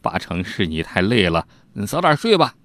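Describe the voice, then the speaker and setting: A man's voice: lowish voice, very animated, normal speed, a bit bright, no room sound, clean studio sound. A man voicing a jovial, dismissive old father in a storytelling narration. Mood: amused, dismissive.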